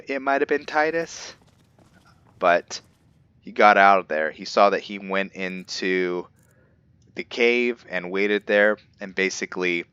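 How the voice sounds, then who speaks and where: Very deep, bright-voiced man narrating gameplay. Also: clean tone, no echo, dull audio. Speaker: roleplay gamer and narrator. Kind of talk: casual unscripted game commentary and recap.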